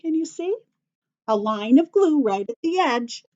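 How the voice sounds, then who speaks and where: Children's story-time teacher, female. Lively, high-pitched, slow step-by-step delivery with encouraging questions. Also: highs cut off. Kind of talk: instructional talk to young children.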